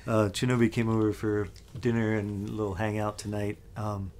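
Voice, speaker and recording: A middle-aged man hosting a casual chat in his home basement studio, speaking in a relaxed, hesitant way with frequent ums. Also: on the low side, normal speed, a little hiss or hum. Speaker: host musician. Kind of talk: casual video intro and chat.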